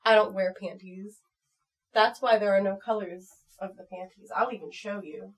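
A woman's voice: mid-range voice, fairly even intonation, moderate pace. An American woman in her twenties chatting casually to viewers in a playful, frank and slightly crude way. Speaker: channel performer reading viewer comments. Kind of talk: casual vlog chat. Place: small home room at computer desk.

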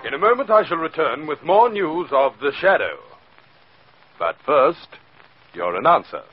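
High-voiced vintage radio announcer, a man. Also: sing-song, normal speed, soft background music. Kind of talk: 1940s scripted radio announcing. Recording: muffled 1940s radio recording.

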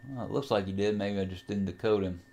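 A man giving a casual software tutorial, unscripted and a little hesitant as he thinks aloud while working. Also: on the low side, fairly even intonation, fast. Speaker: amateur radio enthusiast, tutorial host.

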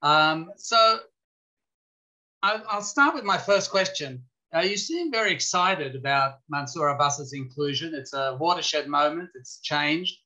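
A middle-aged man moderating a webinar Q&A from a home office, asking measured questions with fillers over a video call. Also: fairly high pitch, lively intonation, sharp tone. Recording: muffled video call audio.

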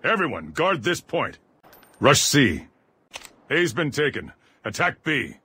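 Husky, forceful soldier in combat. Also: male voice, medium pitch, big pitch swings, slow pace, full character voice. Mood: alert, tactical. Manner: terse, commanding military callouts. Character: gruff armored male soldier, aggressive squad mate in combat. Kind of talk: video game combat voice lines.

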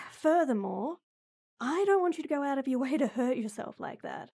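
A woman playing a gruff but caring tomboy best friend in an intimate audio roleplay, blunt and exasperated, with frequent sighs. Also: high-pitched, animated, moderate pace, mellow. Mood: scolding, concerned.

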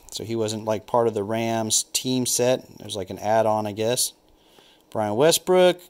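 A man talks casually while sorting through freshly opened trading card packs, calling out names and musing on their worth. His voice sits at an ordinary middle pitch, loose and unhurried. It sounds like a home camera mic picking him up at a tabletop. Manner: relaxed, thinking aloud, naming cards as he flips. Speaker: card collector opening packs. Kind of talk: casual unboxing commentary.

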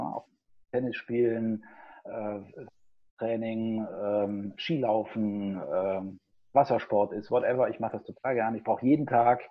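A man's voice: fairly low pitch, slightly rough. A middle-aged man giving casual, conversational answers as a guest in an interview. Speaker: podcast guest, keynote speaker and coach. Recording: narrow, phone-like line.

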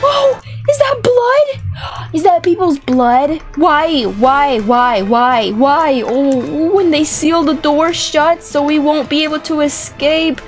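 A young woman giving excited, playful gameplay commentary, animated and exclamatory, often repeating words for effect. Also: sits high, slow pace, hardly any pauses. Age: young adult. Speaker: gaming video host. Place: small indoor room set up for streaming. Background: background music.

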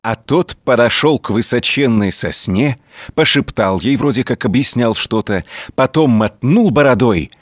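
A man tells a folk tale as a storyteller. His delivery is colloquial and expressive, with very varied intonation. The sound is dry and close. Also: medium pitch, measured pace, no room sound. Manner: folksy, colloquial, expressive telling. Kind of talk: scripted folk tale narration.

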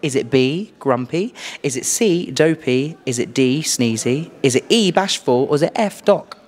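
A young adult man with a British accent hosting a playful quiz interview in an animated, exclaiming way into a handheld microphone. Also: mid-range voice, normal speed. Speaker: host, quizmaster. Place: small furnished indoor room.

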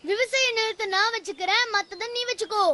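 A woman's voice: very high-pitched, brisk, slight background noise. A woman voicing a boastful, argumentative young brother in a cartoon. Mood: cocky, argumentative. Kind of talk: cartoon dubbing. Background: loud music.

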